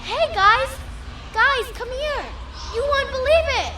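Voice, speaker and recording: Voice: very high-pitched, slow pace, a crowd in the background. A young performer playing an eager, curious little boy in a children's audio drama, chatty and full of questions. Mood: excited. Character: excitable, curious, earnest little boy Luke.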